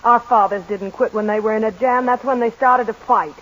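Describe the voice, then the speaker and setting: A woman's voice: on the high side, fairly even intonation, brisk, lo-fi sound, natural voice. An actress playing a spirited young woman who runs a town newspaper, in a 1940s radio play. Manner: earnest, rousing, pleading.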